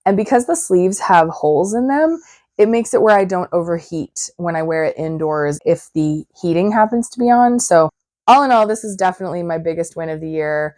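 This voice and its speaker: A woman hosting her own crafting channel, chatting casually to camera while her voice is still hoarse and a bit froggy. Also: fairly low pitch, animated, brisk, a little mellow, no room sound. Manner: chatty and upbeat. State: hoarse, recovering voice. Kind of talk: casual vlog talk to camera.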